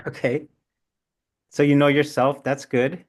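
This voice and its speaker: A man's voice: fairly high pitch, a little slow. A man leading an online seminar discussion, chatty and playful, reacting to participants. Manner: chatty, animated, playful asides. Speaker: seminar presenter.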